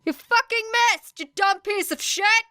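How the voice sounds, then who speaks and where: A woman's voice: extremely high pitch, unhurried, bright, forceful tone, no echo, clean studio sound, pitched above the performer's own voice, exaggerated voice. A woman voicing a foul-mouthed teenage girl fighter who talks in curt, taunting jabs.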